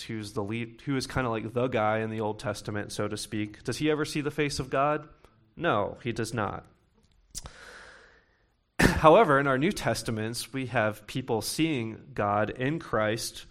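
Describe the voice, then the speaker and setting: A young man in his late twenties or thirties preaching a sermon to his congregation, talking conversationally and slowing down to read scripture. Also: fairly low pitch, gravelly, fairly bright tone. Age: young adult. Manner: conversational preaching with bursts of emphasis, reading scripture slowly. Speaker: pastor.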